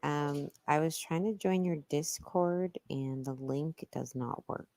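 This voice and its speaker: A woman calls into a live online room in a lowish voice. She speaks very slowly and casually, and the sound is close and dry. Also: a little mellow, no room sound. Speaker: audience member calling into the live room. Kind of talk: casual chat.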